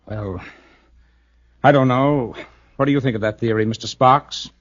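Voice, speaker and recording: An actor playing a troubled, doubting but affectionate husband in a 1940s radio drama, hesitant and earnest. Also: male voice, mid-range voice, measured pace, no room sound, natural voice. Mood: weary. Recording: dull, dry vintage radio recording.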